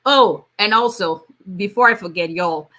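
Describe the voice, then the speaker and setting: Bright-voiced woman attorney. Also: medium pitch, unhurried. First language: Yoruba. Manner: conversational, many fillers, reads out viewer questions. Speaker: immigration attorney. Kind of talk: live Q&A stream.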